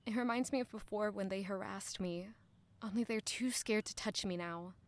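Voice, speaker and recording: A woman's voice: medium pitch, moderate pace, lightly acted. An actress playing a guarded, wistful young lady-in-waiting in an audio drama, speaking earnestly and introspectively. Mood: subdued, wistful. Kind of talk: audio drama narration and dialogue.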